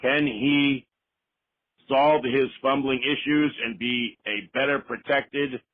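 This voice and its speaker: A man's voice: mid-range voice, fairly even intonation, slow, no room sound. A man from New Jersey leaving a casual fan message as a caller, on a lo-fi recording. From New Jersey, United States. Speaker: voicemail caller. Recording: dull, lo-fi voicemail recording.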